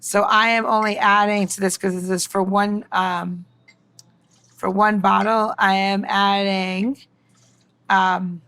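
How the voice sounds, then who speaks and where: A woman's voice: mid-range voice, level tone, takes it slowly, fairly bright tone, clean tone. A woman mixologist giving a casual, informal demonstration, chatting and explaining as she goes. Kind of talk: casual cooking-style demonstration.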